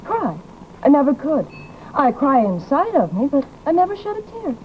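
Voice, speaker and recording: A woman's voice: high voice, sing-song, normal speed. An actress playing a prim, aggrieved young woman in an old radio drama, with a plaintive, tart and formal delivery. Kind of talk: old-time radio horror-comedy acting. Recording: muffled, noisy old radio recording.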